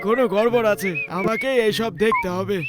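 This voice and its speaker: A man's voice: high-pitched, fairly even intonation, brisk, loud music behind the voice, natural voice. A man voicing a nervous, suspicious landlord uncle in a cartoon. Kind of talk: Bengali cartoon voice acting.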